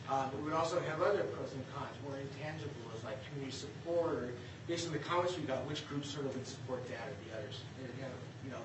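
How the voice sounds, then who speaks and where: A man presenting a planning process at a community meeting, hesitant with many fillers. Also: on the high side. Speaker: city staff presenter. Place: meeting room. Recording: echoey room, distant mic, background noise.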